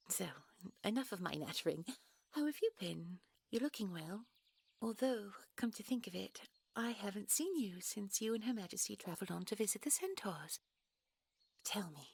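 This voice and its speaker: A woman playing a gentle, secretive fairy princess in an intimate audio roleplay, with a soft, breathy voice and a genteel, coy, polite manner. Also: medium pitch. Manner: genteel, coy, old-fashioned polite phrasing. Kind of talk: intimate audio roleplay acting, one side of a dialogue.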